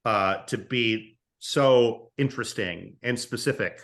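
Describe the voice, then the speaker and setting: Man talking haltingly in a casual interview. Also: lowish voice, fairly bright tone. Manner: hesitant, repeats words and stammers as thoughts form. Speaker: podcast guest, screenwriter and audio drama writer.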